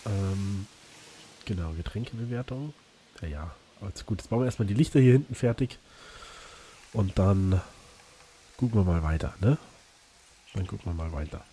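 Let's play host, male, a bit hoarse, chatty and rambling, faint hiss behind. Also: low voice, animated, moderate pace, long pauses, warm tone. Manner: chatty, rambling, frequent fillers and restarts. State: slightly hoarse from illness. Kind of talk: casual gameplay commentary.

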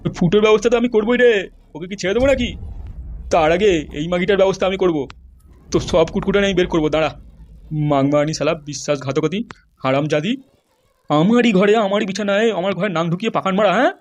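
A man's voice: high-pitched, fast talker, highs cut off, lightly acted. A man voicing a furious, foul-mouthed politician husband in a comedy cartoon, scolding and accusing. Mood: furious, threatening.

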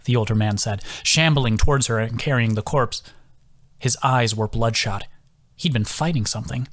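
A man's voice: mid-range voice, normal speed. A man reading scripted fantasy fiction as an audiobook narrator. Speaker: audiobook narrator, author reading own book.

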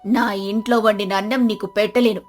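A woman's voice: mid-range voice, steady tone, a little fast, soft background music, natural voice. A woman voicing a bitter old village grandmother who speaks in a harsh, reproachful, dismissive way. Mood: bitter scolding.

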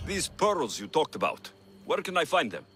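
A man playing a big, kindly adventurer in a video game, expressive and gently teasing. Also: fairly high pitch, normal speed, soft background music. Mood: focused. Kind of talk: video game character dialogue.

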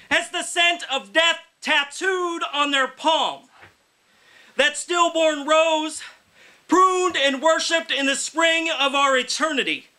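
A man in his thirties giving a loud, emphatic poetry reading, pushing out phrases in a declamatory shout at a live indoor reading. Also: extremely high pitch. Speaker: poet, featured reader.

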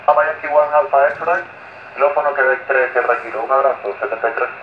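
A man's voice: medium pitch, brisk. A courteous man, speaking over a radio transmission in a casual ham contact with call signs. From Chile. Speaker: amateur radio operator. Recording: noisy, narrow, echoing shortwave radio reception.

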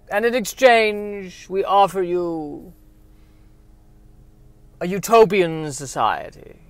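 A man's voice: sits high, a bit bright, clear, resonant tone, dry recording, heavily acted. A man voicing a grandiose, menacing alien villain with careful, theatrical pronunciation in a sci-fi radio play. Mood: grandiose menace. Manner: slow, carefully pronounced, theatrical. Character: grandiose alien villain, articulate, menacing invader offering utopia. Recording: noisy recording.

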